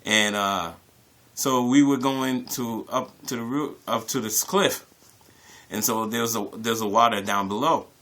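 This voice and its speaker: Male online storyteller, medium pitch, casual and animated, repetitive emphatic phrasing, talking straight to the audience. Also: a little slow. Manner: animated, acts out dialogue with repeated phrases. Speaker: YouTube storyteller. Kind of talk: casual personal storytelling to camera.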